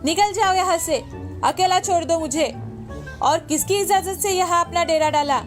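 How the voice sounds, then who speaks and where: Woman doing a high pitched greedy grumpy old village lady, scolding and haughty, cartoon story, music behind. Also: normal speed. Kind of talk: moral-story cartoon voicing.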